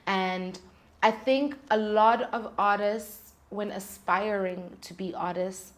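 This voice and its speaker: A woman's voice: medium pitch, fairly even intonation, slow pace. A woman speaking as an interview guest, giving candid, articulate and emphatic advice in a conversational way. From South Africa. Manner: emphatic, articulate, candid, talks in rhetorical questions and examples. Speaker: guest, independent singer-songwriter.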